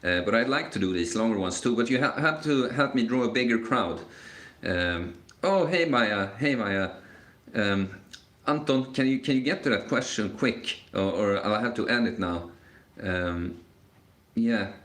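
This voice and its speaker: A young man in his late twenties chats loosely through a live Q&A, stumbling over restarts and filling pauses with "um" and "like". His voice sits a little low for a man and rings around a roomy, echoey space. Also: animated, fairly bright tone. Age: 20s. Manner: rambling, hesitant, many fillers and restarts. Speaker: live stream host. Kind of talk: casual live Q&A chat. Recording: echoey room.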